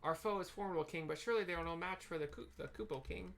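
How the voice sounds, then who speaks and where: A man voicing a small, excitable moogle guard from a fantasy game in a theatrical, exclamatory way. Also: fairly high pitch, rapid-fire, gravelly, pitched above the performer's own voice. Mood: earnest.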